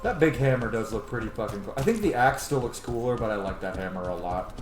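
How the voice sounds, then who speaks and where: A man's voice: medium pitch, lively intonation, quick pace. A man in his thirties giving casual, rambling, sweary let's play commentary as a gaming streamer. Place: small home room, indoors. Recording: echoey room with game music. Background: game music and sounds.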